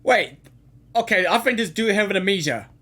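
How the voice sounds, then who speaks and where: A woman's voice: medium pitch, expressive, a little fast, fairly bright tone. A woman giving spontaneous reaction commentary on a game, exclaiming and repeating her words. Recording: game music behind the voice.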